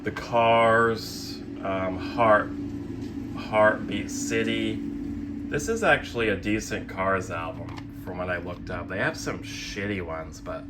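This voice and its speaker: Low-voiced, slow young man, sardonic and rambling. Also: noisy background. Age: young adult. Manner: self-deprecating, sardonic, swears casually. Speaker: host of a physical media collecting channel. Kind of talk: casual rambling show-and-tell commentary.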